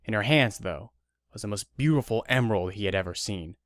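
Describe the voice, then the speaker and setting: A man's voice: fairly low pitch, expressive, brisk, fairly bright tone, no room sound. A man reading scripted narration for an audio drama. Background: guitar music.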